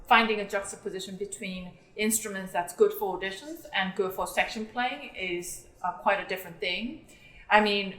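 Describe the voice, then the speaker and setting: This is a young woman, born in South Korea and raised in England, who talks earnestly about playing bass in an orchestra. Her voice sits at a middle pitch, and she speaks with easy pauses and fillers. The room around her has a clear echo. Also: a bit bright. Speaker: orchestral bassist. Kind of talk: interview talk. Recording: roomy echo.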